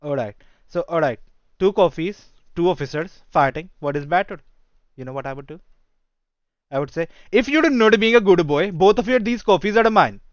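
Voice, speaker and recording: A man's voice: sits high, expressive, moderate pace, no echo, muffled recording. A man with an Indian accent improvising a cocky, touchy police job applicant who rambles and keeps asking for agreement in a comedic roleplay. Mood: rambling, earnest. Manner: rambling, repeatedly seeking agreement with "correct?" and "you know".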